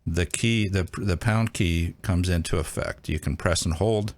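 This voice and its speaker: A man with a low-pitched voice presents a casual, hands-on tutorial. He talks informally, thinking aloud as he demonstrates, like a host showing viewers around a device. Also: measured pace. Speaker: host of a radio how-to channel.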